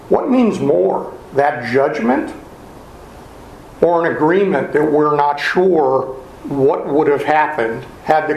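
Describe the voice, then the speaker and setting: A male attorney making an oral argument before an appeals court, pressing his points with rhetorical questions. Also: on the high side. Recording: roomy courtroom sound, faint noise.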